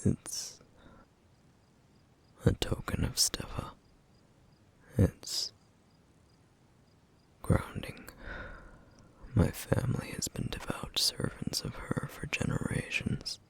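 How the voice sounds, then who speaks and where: A man playing a somber, duty-bound, protective flame demon in an ASMR roleplay, speaking in a hushed, halting, formal way with a rough, breathy voice. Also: close to an everyday voice. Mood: solemn, gentle. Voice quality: breathy, husky, rough whisper. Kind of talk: ASMR roleplay, intimate scripted monologue.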